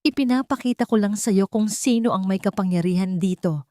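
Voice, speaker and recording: A woman's voice: mid-range voice, brisk, dark tone, dry recording, clean studio sound, natural voice. Woman doing a smug cruel villainess. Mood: threatening. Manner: cold, threatening.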